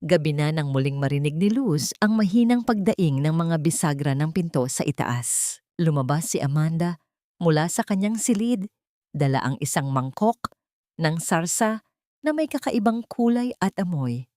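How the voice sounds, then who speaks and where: Female narrator, low register, solemn dramatic storytelling, dry studio-like sound. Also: animated, moderate pace, warm tone, no room sound.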